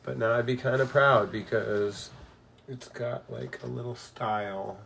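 A middle-aged man chatting casually in a bedroom, telling rambling stories in a relaxed way. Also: medium pitch, measured pace, vocal fry, slight background noise. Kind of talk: casual chat.

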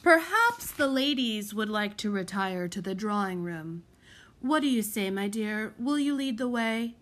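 A woman voicing a resigned, gentle lord in a storybook, with a heavy, subdued manner. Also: mid-range voice, lively intonation, unhurried. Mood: polite. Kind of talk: acted storybook dialogue.

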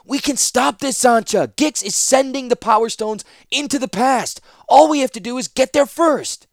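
A man voicing a desperate young male ally who shouts urgent pleas in a storybook reading. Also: very high-pitched, very animated, a little fast, dry recording, clean studio sound, voice pushed up. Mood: frantic shouting. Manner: shouting, pleading.

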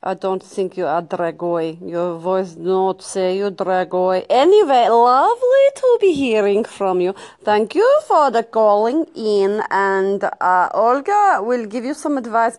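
A woman's voice: mid-range voice, darkish tone, no echo, clean studio sound. A woman playing a brash, blunt, self-loving Russian woman who scolds in broken English as host of a comic advice show. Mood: welcoming, teasing. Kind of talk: improvised comic monologue answering caller messages.

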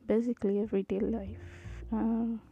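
A woman in her thirties with a tiny, soft voice hosting her own podcast, talking hesitantly with many ums. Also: fairly high pitch, creaky, muffled recording. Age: 30s. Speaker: podcast host. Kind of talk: casual solo podcast intro.

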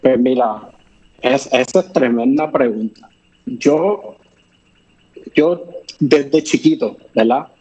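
A man's voice: mid-range voice, takes it slowly, pauses often, dark tone, husky, low-quality recording. A man who grew up speaking English, chatting as an interview guest and rambling with lots of fillers and restarts. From born in Chicago, raised partly in Puerto Rico. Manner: rambling, many fillers and restarts, laughs often. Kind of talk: casual live interview chat.

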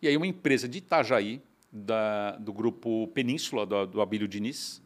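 A middle-aged man from São Paulo, telling his story as a guest in a casual conversation, animated and often restarting. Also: lowish voice, moderate pace. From São Paulo, Brazil. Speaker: guest entrepreneur.

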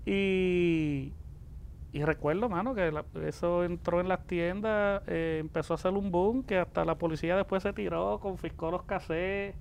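A man's voice: high voice, steady tone, normal speed, creaky, darkish tone. A man in his forties with a Puerto Rican accent, telling anecdotes casually as an interview guest, with some background noise. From born in the Dominican Republic, raised in Puerto Rico from age ten. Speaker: interview guest, music producer.

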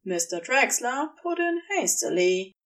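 A woman's voice: fairly high pitch, sing-song, a little slow, distant mic. A woman reading fiction aloud as a scripted story narrator. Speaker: audiobook narrator.

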